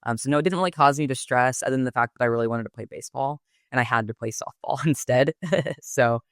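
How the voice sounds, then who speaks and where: A woman's voice: rumbling low, quick pace, dry recording, clean studio sound. A woman in her twenties from Texas, answering interview questions in a thoughtful, articulate way, with frequent "um" and "like". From Texas, United States. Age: 20s. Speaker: guest. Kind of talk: podcast interview.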